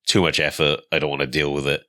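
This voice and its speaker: A man's voice: extremely low pitch, fast. A young man with a Welsh accent, congested from a cold and sounding nasal, chatting casually as a podcast co-host. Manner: relaxed, laughs often. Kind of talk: casual chat.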